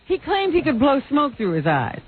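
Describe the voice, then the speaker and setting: Wry woman co-host, high animated voice. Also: normal speed, crowd noise. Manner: wry, mocking. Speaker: radio show co-host. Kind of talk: reactive studio banter. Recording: dull, lo-fi radio recording.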